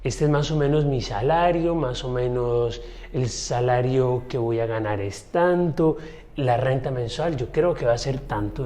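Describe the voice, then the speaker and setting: A man talks through his own podcast in a loose, chatty monologue. He speaks directly to his listeners and shares personal stories in a reflective tone. His pitch sits in a middle range for a man. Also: darkish tone. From Colombia. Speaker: podcast host. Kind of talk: casual solo podcast monologue.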